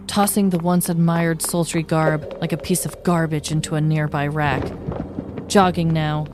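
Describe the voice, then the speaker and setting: A woman podcast host reading a scripted true-crime story in a measured, articulate storytelling manner. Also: on the low side, a little mellow.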